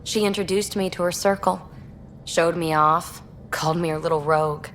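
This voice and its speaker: A woman acting a brash, candid young rogue explorer in a video game, talking in a snarky, animated and colloquial way. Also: fairly low pitch, moderate pace, slight background noise, lightly acted. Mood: wistful.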